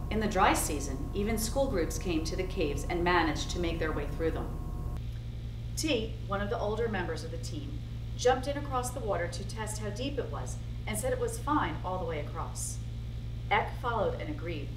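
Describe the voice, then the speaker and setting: A woman's voice: animated, normal speed. A woman reading a nonfiction story aloud as narrator. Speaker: reader of a book chapter for a school project. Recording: echoey, noisy, rumbly, distant mic.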